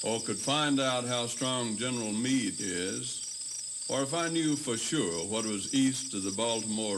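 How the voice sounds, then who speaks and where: An actor playing a grave, decisive Confederate commanding general in a historical audio drama, deliberate and authoritative. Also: male voice, on the low side. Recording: noisy, distant tape with insect ambience.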